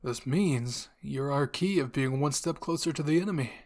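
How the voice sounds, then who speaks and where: A man voicing a composed, authoritative military commander in a story, with a serious, deliberate manner. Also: medium pitch, a little mellow, close to an everyday voice. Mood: calm command.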